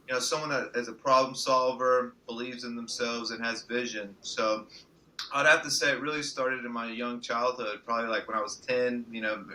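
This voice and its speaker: A man's voice: medium pitch, pushed and bright, rough recording. A man born in Mexico and raised in Mississippi, a guest on a podcast, talking about his journey in an earnest, reflective way. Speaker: guest entrepreneur. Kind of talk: podcast interview.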